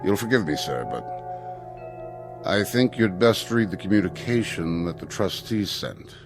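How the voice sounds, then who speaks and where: An actor playing a formal, awkwardly tactful school headmaster in a radio drama, with a halting, stiffly polite delivery. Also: male voice, sits low, expressive, over music. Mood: grave, uneasy.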